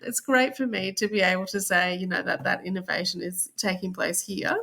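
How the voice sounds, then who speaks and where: A woman's voice: lowish voice, fairly quick, creaky, sharp tone. A woman with an Australian accent, explaining things conversationally as a guest in a podcast interview.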